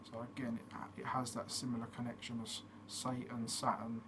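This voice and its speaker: A man hosting his own channel, giving a casual explanatory monologue in a steady way, with frequent fillers. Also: medium pitch, normal speed. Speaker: channel host. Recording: noticeable background noise.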